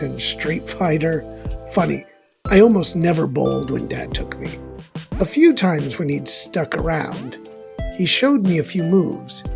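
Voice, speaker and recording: A man acting a resentful, self-deprecating loner confiding in an audio drama. His voice is gravelly with vocal fry, and he rambles defensively in a conversational way over clear background music. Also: a little high. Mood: wistful, resentful. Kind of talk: dramatic first-person monologue, conversational.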